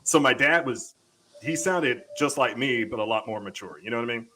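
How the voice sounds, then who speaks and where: A man from Mississippi co-hosting a casual panel show over a video call, telling stories with a booming voice that carries, swearing freely. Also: expressive, normal speed, bright, forceful tone, slight background noise, rough recording. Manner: booming, storytelling, swears freely, laughs a lot. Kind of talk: casual chat.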